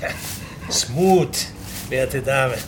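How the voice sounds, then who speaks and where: A man's voice: medium pitch, very slow, noisy background. An actor playing a polite, timid middle-aged male clerk looking for work in a radio play, courteous, deferential and a little hesitant. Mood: deferential, puzzled. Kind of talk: radio drama acting.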